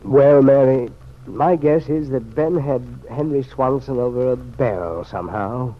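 An actor playing a grave, regretful man in an old-time radio serial, speaking in an earnest, measured way. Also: medium pitch, lightly acted. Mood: somber. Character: grave, regretful man, troubled family friend. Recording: muffled, noisy old radio recording.

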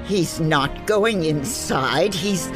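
Slow, theatrical female storyteller. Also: deep voice, animated, music in the background, heavily acted. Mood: grand narrating. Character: pompous, theatrical female storyteller with flowery words. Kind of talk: scripted fantasy narration in an audio comedy.

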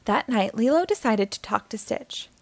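A woman's voice: on the high side, animated, normal speed, creaky, a little mellow, dry recording. A woman reading a children's story aloud as scripted narration.

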